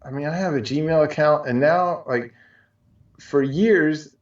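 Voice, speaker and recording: A man with a fairly high voice, heard a little away from the mic in a very echoey space. The sound is slightly dull. He chats casually as a co-host, wandering from one example to the next. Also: measured pace. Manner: rambling, colloquial, piles up examples. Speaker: show co-host. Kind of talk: casual chat. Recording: muffled, echoey, distant from mic.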